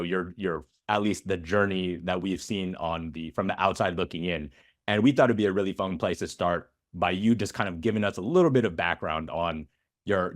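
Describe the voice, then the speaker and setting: A man from the United States hosting a podcast, reading a scripted intro and then asking questions with lots of hesitations. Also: sits low. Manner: hesitant, many fillers and restarts in questions. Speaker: podcast host. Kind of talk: casual interview chat.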